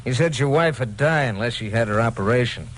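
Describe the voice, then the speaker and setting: An actor playing a slick, menacing crook in an old-time radio crime drama, coercive and sneering. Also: male voice, medium pitch, animated, a little fast, lightly acted. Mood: smooth pressure. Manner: smoothly coercive, sneering at his victim. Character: menacing, slick insurance-fraud crook, male. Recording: noisy old radio recording.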